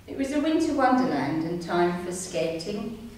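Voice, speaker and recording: A woman's voice: lowish voice, sing-song, darkish tone. Older woman reading aloud in a very echoey room. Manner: measured storytelling, reading from pages. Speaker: amateur writer reading her own story. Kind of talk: reading a written memoir aloud to an audience. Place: indoor room at a community centre, seated. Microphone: distant camera microphone. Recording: echoey room, distant mic, faint noise.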